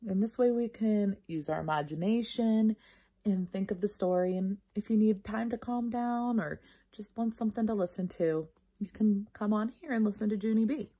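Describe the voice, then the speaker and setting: A woman reading a children's storybook aloud like a teacher, casual, expressive and encouraging toward young listeners. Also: mid-range voice, normal speed.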